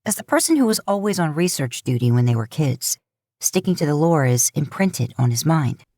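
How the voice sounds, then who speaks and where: A woman narrating a fan fiction audiobook in a steady storytelling manner. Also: deep voice, moderate pace, dry recording, clean studio sound.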